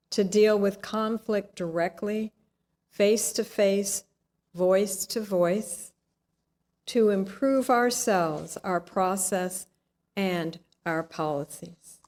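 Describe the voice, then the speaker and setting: A woman's voice: mid-range voice, fairly even intonation, extremely slow. A woman from a congregation reading a written statement aloud in a formal style.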